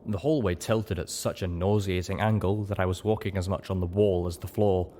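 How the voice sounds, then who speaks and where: Man, low-pitched voice, scripted fantasy narration, faint noise on the recording. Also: fairly even intonation, brisk, dark tone. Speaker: audiobook narrator.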